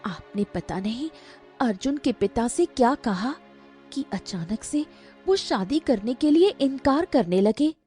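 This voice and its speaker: An earnest young village woman in a cartoon folk tale, acted by a woman narrator, pleads and questions with lively pitch swings. The voice is mellow, over faint music. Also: measured pace. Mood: earnest, pleading.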